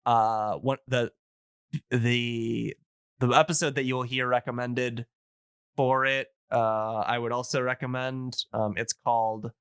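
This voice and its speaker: A man in casual podcast conversation, enthusiastic as he recommends things, often halting to search for words. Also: medium pitch, level tone, fairly bright tone, clear, resonant tone, no room sound.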